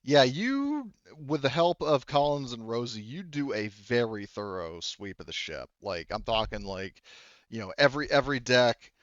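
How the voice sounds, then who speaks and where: A man running a tabletop roleplaying game as game master, narrating casually in a halting, conversational way with hedged explanations. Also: mid-range voice, very animated, fairly bright tone. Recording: dull, roomy, echoey sound.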